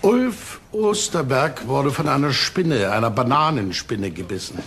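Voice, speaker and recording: An actor playing a brisk, authoritative police detective in a crime drama, curt and commanding. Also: male voice, mid-range voice, animated, a bit bright, slight background noise. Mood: grim. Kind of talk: crime drama acting in German.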